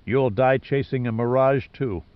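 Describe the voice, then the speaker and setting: A man's voice: medium pitch, unhurried, clean tone, lo-fi sound, lightly acted. A man voicing a principled, defiant male hero in an audiobook, blunt and accusing. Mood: cold accusation. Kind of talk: audiobook character dialogue.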